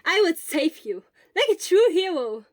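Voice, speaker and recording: A woman voicing a loud, cheerful, loyal teenage boy who talks with earnest exuberance in an audio story. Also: very high voice, very animated, normal speed, dry recording, clean studio sound, pitched above the performer's own voice. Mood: lighthearted.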